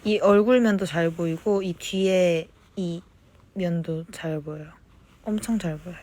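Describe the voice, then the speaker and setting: A young woman chatting casually on a phone livestream, reading fan comments and answering them softly, at times slipping into whispers. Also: fairly low pitch. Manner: slow, soft-spoken, drifts into whispers. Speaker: idol livestreaming to fans.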